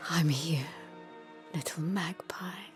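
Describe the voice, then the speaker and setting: A middle-aged woman playing a phantom mother in a game, theatrical and slow, both tender and menacing. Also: low voice. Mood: coaxing. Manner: slow, theatrical, swinging from whisper to force. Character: mother apparition, tender turning cruel and menacing. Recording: music under the voice.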